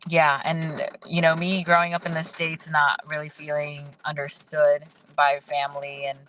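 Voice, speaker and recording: A woman's voice: low-pitched, completely flat delivery, normal speed, fairly bright tone, slight background noise, rough recording. A woman whose first language is Hindi talks candidly and thoughtfully as a guest, laughing often, from outdoors in the rain. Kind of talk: casual video-call conversation.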